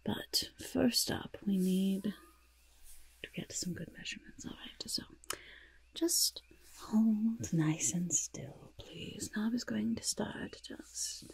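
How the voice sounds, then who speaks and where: A woman playing a sly, fussy goblin master of disguise in a hushed, breathy ASMR roleplay, speaking deliberately and close to the microphone. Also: medium pitch, rough recording. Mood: fussy, soothing. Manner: slow, deliberate, refers to self in third person. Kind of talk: ASMR roleplay, soft-spoken whisper.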